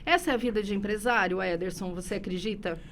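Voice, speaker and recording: A woman's voice: mid-range voice, quick pace, a little hiss or hum. A woman hosting an interview, asking questions in a friendly, chatty way and sometimes stumbling over her words. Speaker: interview host. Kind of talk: casual interview conversation.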